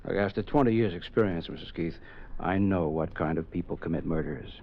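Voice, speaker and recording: Casting: a skeptical old-time cop, dry and curt, lowish voice, dull radio sound. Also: male voice, brisk, vocal fry. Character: blunt veteran homicide cop. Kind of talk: 1940s radio acting.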